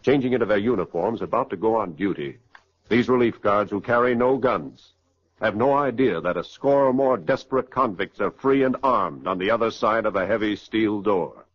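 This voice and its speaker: A man's voice: fairly low pitch, moderate pace, natural voice. A man narrating a 1940s radio crime drama in a grave, formal, dramatic style. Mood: grave, suspenseful. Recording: muffled 1940s radio recording.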